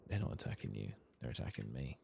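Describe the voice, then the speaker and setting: A soft-spoken British man doing relaxed ASMR game commentary, hushed and at times whispered. His voice is extremely low and full of heavy fry, muttered casually to himself over faint background noise. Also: fast talker.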